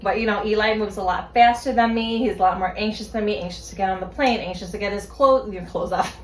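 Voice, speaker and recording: Female podcast co-host, 30s, loose and profane, middle pitch, roomy sound. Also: fast. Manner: chatty, swears freely, lots of fillers and laughter. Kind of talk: casual podcast chat. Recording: echoey room.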